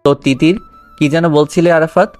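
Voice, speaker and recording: A man's voice: on the high side, steady tone, fairly quick, darkish tone, faint music behind, natural voice. A man playing a stern, jealous boss in love in a romantic audio story, intense and earnest.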